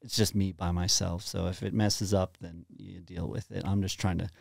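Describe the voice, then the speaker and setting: A man's voice: deep voice, flat delivery, moderate pace, creaky, no room sound. A young man from Texas with a light Texan accent, chatting casually as a podcast guest in a small studio, rambling with many fillers. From Dallas, Texas. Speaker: guest musician. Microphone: studio mic on boom arm, headphones.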